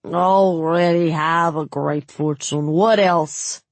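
A woman voices a drunk, red-faced nobleman who slurs his words and bosses people around. His voice is loud and slow in a storybook reading. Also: low-pitched, fairly even intonation, no room sound. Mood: demanding. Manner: slurred, demanding. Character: tipsy slurring red-mustached nobleman.

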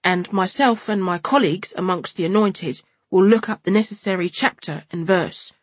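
In an audiobook, a pompous young preacher voiced by a woman drones through his nose in a put-on refined Cockney. Each word is slowly and painfully enunciated, and his tone is sanctimonious. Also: mid-range voice, husky, dry recording, close to an everyday voice. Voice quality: nasal. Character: pompous young male preacher, slow and nasal.

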